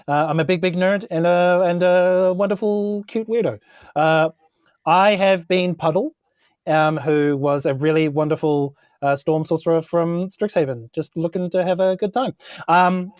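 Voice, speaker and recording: Quirky, higher-voiced New man. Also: dry recording, clean studio sound. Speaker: podcast guest player, performance capture actor. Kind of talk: casual self-introduction.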